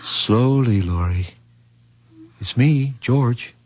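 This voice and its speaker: A man's voice: medium pitch, drawn-out words, a little mellow. An earnest young fiancé in an old radio mystery. His mid-range voice is careful and patient, with the muffled sound of a vintage recording. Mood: concerned. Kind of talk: radio drama acting.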